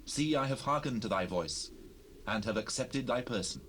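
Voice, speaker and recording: A man's voice: medium pitch, expressive, normal speed, fairly bright tone, lightly acted, rough recording. An actor playing a proud, devout warrior leader in a dramatized King James Bible, speaking in solemn, declamatory archaic style. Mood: grateful, solemn. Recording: unprocessed cassette rip with noise.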